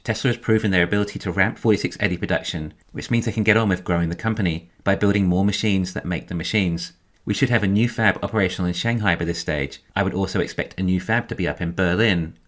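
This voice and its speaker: A man giving a scripted financial commentary in a measured, explanatory way. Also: deep voice, fairly bright tone. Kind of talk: scripted narration, financial analysis.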